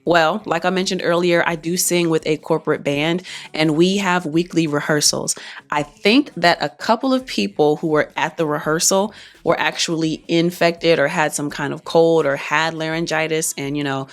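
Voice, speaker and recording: A woman's voice: sits low, fairly even intonation, moderate pace. A young adult woman, a singer, talking casually to her viewers in a chatty, plain way while she gets her voice back. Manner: chatty, explains plainly, reads some parts aloud. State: recovering voice, partly lost speaking voice. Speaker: singer and vlogger. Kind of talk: casual talk to camera.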